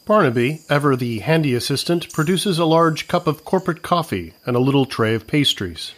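A man's voice: fairly high pitch, lively intonation, fairly quick. A man reading scripted narration for an audio comedy, describing scenes as the narrator.

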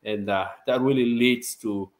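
Brief: young South Sudan-born man, guest on a video call, relaxed and chatty. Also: mid-range voice, measured pace. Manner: relaxed, chatty, laughs easily. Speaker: interview guest. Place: home office.

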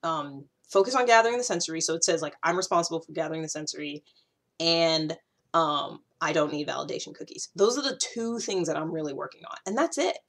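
A woman's voice: sits low, fast talker. An American woman in her thirties talking casually to camera, conversational and emphatic, repeating points for stress. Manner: conversational, animated gesturing, emphatic repetition. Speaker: YouTube host sharing self-growth advice. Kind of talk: casual direct-to-camera talk.

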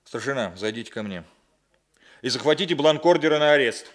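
An actor playing a composed, authoritative police captain in a radio drama, measured and commanding. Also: male voice, mid-range voice, expressive, a bit bright, slight background noise, lightly acted. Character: composed, authoritative male carabinieri captain investigating crime. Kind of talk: radio drama dialogue and first-person narration.

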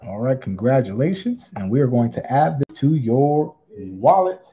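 A man hosting a live online auction, calling bids with theatrical, drawn-out singsong phrases. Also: mid-range voice, very animated, warm tone, slightly rough, highs cut off. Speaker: online auctioneer, channel host. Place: indoors at a tabletop display.

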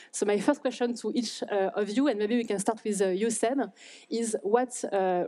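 A woman's voice: on the high side, dark tone. A woman in her thirties chairing a design panel on stage, speaking into a handheld mic with many hesitant fillers. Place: stage in a large hall.

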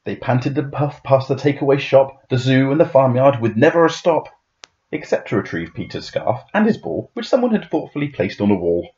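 A man reading a children's rhyming picture book aloud in a dramatic, playful, expressive way. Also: medium pitch, normal speed, muffled recording. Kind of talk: expressive rhyming storybook narration.

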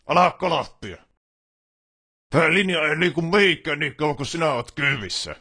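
A man playing a grumpy, bossy, foul-mouthed bus driver in a video game, with a Finnish accent and a gruff, curt, scolding way of talking. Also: on the high side, lively intonation, pushed and bright, exaggerated voice. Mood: scolding, irritated. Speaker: game voice actor. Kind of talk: video game character voice lines.

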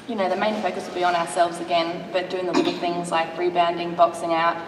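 A young woman athlete talks quickly and upbeat through her answers, repeating filler phrases. Her voice is on the low side. It echoes in a large hall and is heard from a distance. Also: little pitch movement, crowd noise. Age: young adult. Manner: quick, upbeat, leans on "you know, obviously". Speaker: college basketball player. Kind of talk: press conference answers. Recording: echoey hall, distant mic, room noise.

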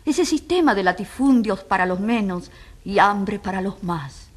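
An actress playing a solemn, compassionate narrator at a tribunal, grave and rhetorical in scripted dramatic narration. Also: female voice, mid-range voice, expressive, a little slow. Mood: solemn, indignant. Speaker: narrator and moderator of a dramatized trial.

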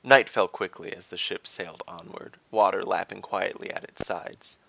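Male narrator, low voice, bright forceful tone, scripted fantasy storytelling, slightly muffled. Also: fairly even intonation, moderate pace, creaky, dry recording.

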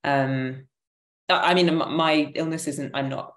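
A woman with a very low voice speaks thoughtfully and conversationally, feeling her way through each answer. She sounds like she is on a video call from an echoey room at home. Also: steady tone, a little slow. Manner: hesitant, thoughtful, self-correcting. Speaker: interview guest, theatre maker. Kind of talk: reflective conversational interview answers. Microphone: computer microphone on a video call. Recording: roomy, echoing video-call audio.

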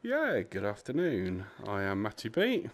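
A man's voice: lowish voice, wildly swooping pitch, unhurried. A man from England with an English accent, giving a casual, self-deprecating intro and chatting with other players. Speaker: video maker and player.

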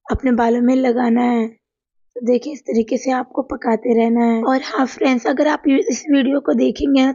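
A hoarse woman with a sore throat narrates a home remedy demo in a chatty voice-over, talking to viewers as friends. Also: high voice, dark tone, no room sound, muffled recording. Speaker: cooking and home remedy channel host. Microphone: voice-over recorded apart from the video.

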